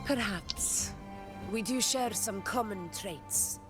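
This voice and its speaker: A composed female warrior leader as a woman acts her in a video game. She speaks slowly and solemnly with full authority, over clearly audible music. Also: medium pitch, natural voice. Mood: grave, composed.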